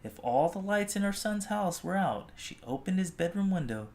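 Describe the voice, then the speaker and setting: A storybook reader telling a children's tale expressively, with gentle, drawn-out phrasing. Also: mid-range voice, very animated.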